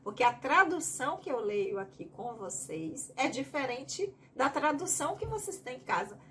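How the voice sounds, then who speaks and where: Higher-voiced Bahian woman leading study. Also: sing-song, normal speed, bright, forceful tone, a little hiss or hum. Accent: Bahian Brazilian Portuguese. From Bahia, Brazil. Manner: reads a question aloud, then explains it at length in her own words. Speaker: study group leader. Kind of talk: teaching a spiritist study group.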